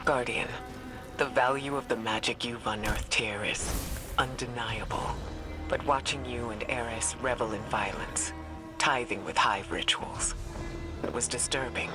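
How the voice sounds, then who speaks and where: An actor playing a troubled, reserved and disapproving ally in a video game. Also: male voice, mid-range voice, fairly even intonation, a little slow, over music, lightly acted, low-quality recording. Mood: disturbed. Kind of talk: scripted game dialogue.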